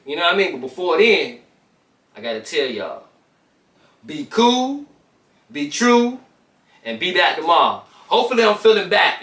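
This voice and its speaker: An American man with a notably high voice hosts a children's read-aloud. His delivery is casual storytelling mixed with informal chat, full of everyday slang aimed at young listeners. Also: pushed and bright, roomy sound, far from the mic.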